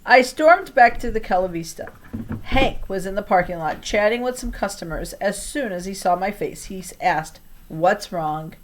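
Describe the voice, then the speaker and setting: A woman's voice: mid-range voice, lively intonation, moderate pace, a little hiss or hum. A woman reading a children's novel aloud as a scripted first-person story. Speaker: audiobook reader.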